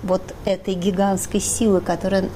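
A woman's voice: mid-range voice, flat delivery, moderate pace, warm tone, a little hiss or hum, poor audio. Assertive woman arguing on a panel. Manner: assertive, argumentative. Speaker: studio guest. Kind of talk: panel discussion.

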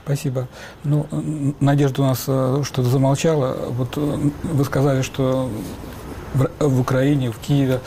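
A man hosting and moderating a studio discussion, polite and measured as he puts questions to the guests. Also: medium pitch, level tone, mellow, a little hiss or hum. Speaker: host.

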